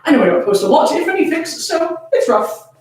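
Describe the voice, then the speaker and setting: A woman's voice: sits high, sing-song, a little fast, a little mellow. A woman hosting a fan fiction reading, playful and sweary, moving between casual teasing banter and dramatic read-aloud. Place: indoors, echoey room, camera on tripod. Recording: very echoey room, distant from mic.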